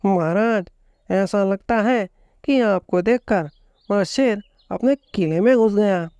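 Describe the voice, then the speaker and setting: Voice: mid-range voice, slow pace, a little mellow, smooth, dry recording, voice pushed up. A storyteller voicing a small clever rabbit, humble and pleading, in a children's storybook tale. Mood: earnest, cunning. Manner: pleading, deferential.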